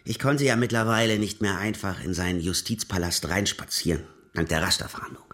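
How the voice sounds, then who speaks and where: A man's voice: deep voice, steady tone, a little fast, a bit bright. An actor playing a sarcastic middle-aged fugitive in a radio crime drama, telling his story in a colloquial, rambling way. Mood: wry, rambling. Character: sarcastic, rambling fugitive man, prosecutor's resentful son. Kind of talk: first-person narration and dialogue in German radio crime drama.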